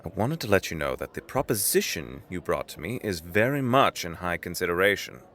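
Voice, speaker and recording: A man playing a polite businessman gentleman with dry humor and a courteous, formal manner in an audio drama. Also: deep voice, very animated, fairly quick, heavily acted. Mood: courteous, wry.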